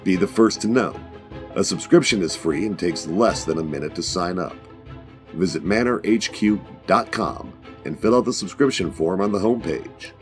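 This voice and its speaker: A man's voice: deep voice, moderate pace, faint music behind. A man reading a scripted promotional announcement as an announcer.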